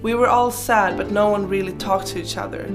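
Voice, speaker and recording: Girl in her 20s, german speaker, chatty casual life story voiceover, playful, music clearly playing behind. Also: mid-range voice, fairly even intonation, fairly quick. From Sweden. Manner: chatty, informal, playful asides. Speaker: video creator narrating her life. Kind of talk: casual personal storytelling voice-over.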